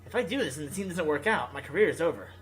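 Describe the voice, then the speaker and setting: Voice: medium pitch, lively intonation, racing, natural voice, rough recording. A video game reader voicing a carefree, proud young male pro gamer who is fed up with his team. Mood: hesitant.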